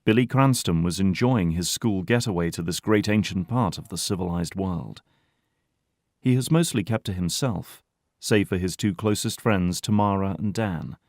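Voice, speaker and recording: A man's voice: low-pitched, moderate pace, darkish tone, no room sound, studio quality. A man narrating an audio drama in a scripted, dramatic storytelling style.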